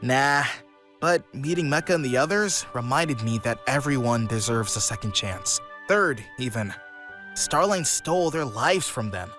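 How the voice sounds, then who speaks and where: A man's voice: medium pitch, animated, measured pace, over music, lightly acted. A man voicing an upbeat, forgiving young cartoon animal hero with an easygoing, earnest manner, in a comic dub.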